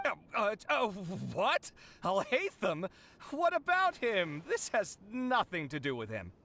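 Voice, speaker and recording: A man playing an earnest young male scholar in a video game, expressive and easily flustered. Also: high voice, a little slow, slight background noise, exaggerated voice. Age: young adult.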